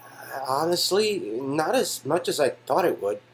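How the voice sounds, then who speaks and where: A man voicing a gentle, insecure former quarterback, reading the game's dialogue in a hesitant, earnest way. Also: a little high, big pitch swings, a little slow, slight background noise, voice pushed up. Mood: wistful. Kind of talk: reading game dialogue aloud.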